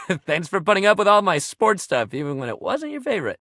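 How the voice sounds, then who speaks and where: An actor playing a sports-obsessed, warm-hearted young jock pal in a video game, with exuberant, slangy bro talk. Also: male voice, high-pitched, huge pitch swings, brisk, dry recording, clean studio sound, heavily acted. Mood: friendly, cheerful. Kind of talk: video game character acting.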